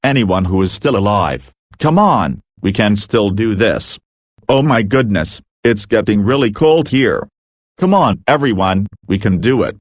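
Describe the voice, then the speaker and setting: A man's voice: lowish voice, expressive, measured pace, dry recording, clean studio sound. A man playing a frazzled emergency broadcast announcer in an amateur doomsday drama, mixing formal bulletins with slangy exclamations. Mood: desperate. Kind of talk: amateur scripted emergency broadcast narration with informal outbursts.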